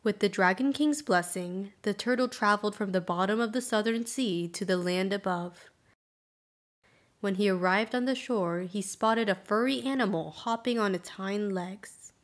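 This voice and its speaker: A woman reads a folktale as its narrator. Her pitch sits in the middle range, and she phrases the scripted story in a measured, clear way.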